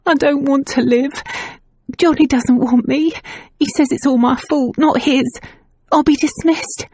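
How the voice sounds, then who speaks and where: A woman's voice: fairly high pitch, fairly even intonation, very slow, gravelly, breathy edge, dry recording, pitched above the performer's own voice, exaggerated voice. Woman voicing a weak, tearful young maid. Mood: pleading, tearful.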